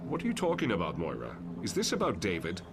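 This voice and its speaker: A man playing a composed older professor in a motion comic, speaking in a measured, formal, polite manner. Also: low-pitched, wildly swooping pitch, background noise, full character voice. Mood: concerned. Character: composed, courteous older male professor and mentor.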